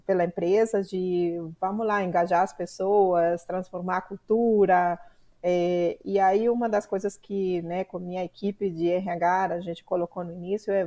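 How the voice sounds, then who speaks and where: Colombian woman, animated, searching for words. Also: mid-range voice. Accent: Colombian Spanish. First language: Spanish. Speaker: guest. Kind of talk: conversational interview.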